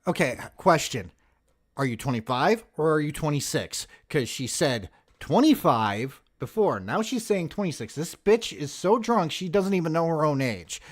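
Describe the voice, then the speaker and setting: Animated sarcastic man in his forties. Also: fairly high pitch, normal speed. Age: 40s. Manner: profane, sarcastic, joking. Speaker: video host reacting to footage. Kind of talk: casual mocking commentary on police bodycam video.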